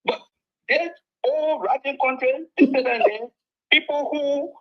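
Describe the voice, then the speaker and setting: A man's voice: very high-pitched, a little slow. A middle-aged Liberian man calling in to a talk show, rambling and indignant about his country. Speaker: caller. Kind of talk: call-in political commentary. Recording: muffled phone line.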